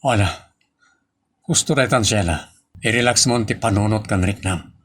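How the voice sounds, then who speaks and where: A man's voice: fairly low pitch, moderate pace, fairly bright tone. A man acting a reassuring, devoted businessman in love in a radio drama. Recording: music behind the voice. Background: music.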